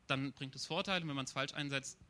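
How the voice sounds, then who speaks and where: Bright-voiced man arguing on a panel. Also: mid-range voice. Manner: measured, restarts phrases while arguing. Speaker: panel guest. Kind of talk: panel discussion.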